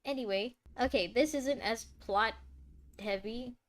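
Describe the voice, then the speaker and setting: A young woman giving casual, excitable live commentary as a streamer, often exclaiming. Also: a little high, a little slow. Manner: expressive, exclaiming. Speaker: streamer reacting to game trailers. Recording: loud game music behind. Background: game trailer music.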